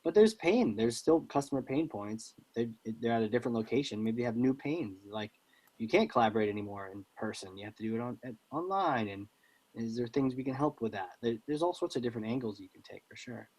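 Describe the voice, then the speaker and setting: A man's voice: medium pitch, lively intonation, a little fast, darkish tone, rough recording. Casual male podcast host, mid-range voice. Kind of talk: casual interview chat.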